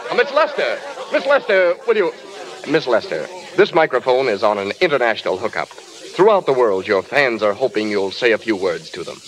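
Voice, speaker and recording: An actor playing an excited male radio reporter at a film premiere, in 1930s radio drama style. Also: medium pitch, moderate pace, close to an everyday voice, rough recording. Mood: hushed, concerned. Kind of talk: 1930s radio acting, live commentary. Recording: old 1930s radio recording, crowd behind.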